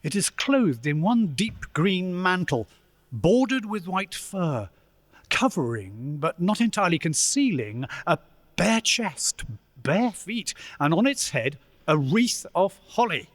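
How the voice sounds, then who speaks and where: A man narrating a radio play as dramatic, deliberate storytelling with suspenseful pauses. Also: high voice, very animated, dry recording, studio quality, full character voice. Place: live stage performance in a church.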